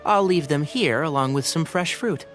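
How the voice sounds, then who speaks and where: A man's voice: on the high side, moderate pace, a bit bright, soft background music, lightly acted. A man voicing a kindly, considerate young forest ranger in a video game, speaking courteously and articulately. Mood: friendly.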